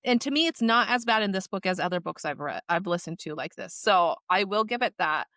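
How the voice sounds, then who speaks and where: A woman's voice: medium pitch, expressive, brisk, fairly bright tone, dry recording, clean studio sound. A woman co-hosting a podcast in casual conversation, acting out her reactions as quotes as she talks. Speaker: podcast co-host. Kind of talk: casual chat discussing books and audiobooks.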